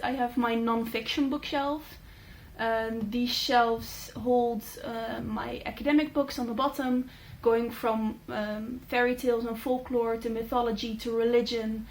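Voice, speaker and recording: A young Dutch woman, a native Dutch speaker, chatting casually in a vlog with many fillers and thoughtful pauses. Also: fairly high pitch, a little hiss or hum. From Netherlands. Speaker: book channel host. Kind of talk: casual talking-head vlog.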